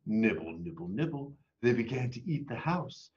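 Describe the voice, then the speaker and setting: American guy reading a kids book out loud, super expressive up and down, bit muffled lo-fi sound. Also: medium pitch, normal speed. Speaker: storybook reader for a school. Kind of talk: animated children's read-aloud.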